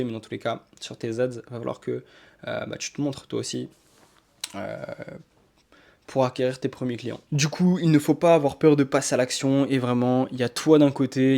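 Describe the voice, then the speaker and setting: A man's voice: mid-range voice, creaky, a little mellow. A young man giving casual, rambling motivational marketing advice to camera, repeating his points with many fillers. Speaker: marketing coach.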